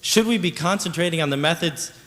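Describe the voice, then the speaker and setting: A man's voice: a little high, brisk, a bit bright. A young man, a student, asking an earnest, wordy question from the floor at a town hall. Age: young adult. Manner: earnest, wordy, searching for words. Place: large lecture hall. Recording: long reverb in a large hall.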